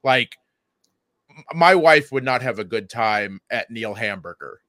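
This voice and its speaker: A man's voice: medium pitch, animated, measured pace, pushed and bright, no echo. A man who co-hosts a comedy podcast, chatting casually, stammering over repeated words and swearing freely. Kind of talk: casual chat.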